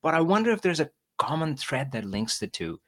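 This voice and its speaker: A man's voice: fairly high pitch, sing-song, brisk, fairly bright tone. A man hosting a casual interview, enthusiastic and encouraging toward his guest. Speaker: podcast host.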